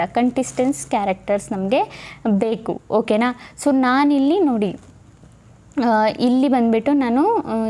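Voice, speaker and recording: A woman's voice: on the high side, dark tone. A woman presenting a casual step-by-step tutorial, instructing and often checking in with listeners. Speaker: tutorial host.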